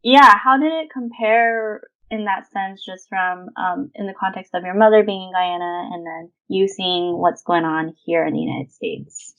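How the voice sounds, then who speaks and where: Woman, podcast interviewer, middle-pitched voice, conversational questions, slightly dull recording. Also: moderate pace, hardly any pauses, fairly bright tone.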